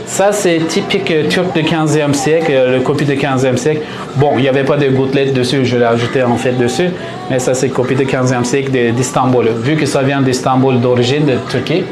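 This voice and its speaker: A man from Istanbul with a Turkish accent, explaining his paper marbling craft to visitors in his small workshop, in halting French with many pauses. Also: medium pitch, steady tone, echoey room, background noise. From Turkey (Istanbul).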